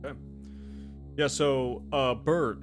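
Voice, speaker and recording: A man's voice: medium pitch, slow. A man chatting informally as the game master, casually narrating a tabletop game. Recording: background music under the voice.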